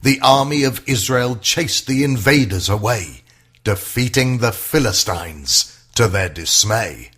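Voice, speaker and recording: A man narrating a rhyming children's bedtime story in a theatrical, sing-song way, with a husky voice. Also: fairly low pitch, animated, a little slow, a bit bright.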